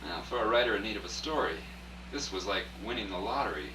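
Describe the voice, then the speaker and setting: Man acting a low-voiced wry journalist, distant and echoey. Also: expressive, fast talker, lightly acted. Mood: wry, intrigued. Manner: dry, wry storytelling. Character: broke, wry freelance journalist narrating his strange tale. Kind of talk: hard-boiled first-person radio drama narration. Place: echoey room at a dress rehearsal. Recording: echoey, distant, noisy old video rehearsal recording.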